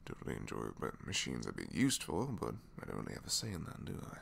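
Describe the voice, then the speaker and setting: A man's voice: a bass voice, huge pitch swings, fast talker, vocal fry, fairly bright tone, slightly rough, pitched below the performer's own voice, heavily acted. A man playing a reluctant, secretly kind supervillain inventor in an ASMR roleplay, hesitant, wry and self-deprecating, rambling and sometimes dropping toward a whisper. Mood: weary, wry. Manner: hesitant, wry, rambling, trailing tag questions, sighs, drops to whispers. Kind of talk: ASMR roleplay audio drama, one-sided conversation.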